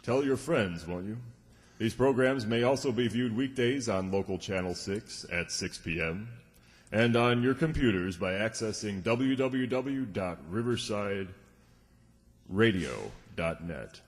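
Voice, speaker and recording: Low-voiced male announcer in an echoey hall. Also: unhurried. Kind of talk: old-time radio announcing to a live audience. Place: township hall stage. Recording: echoey hall, clear background noise.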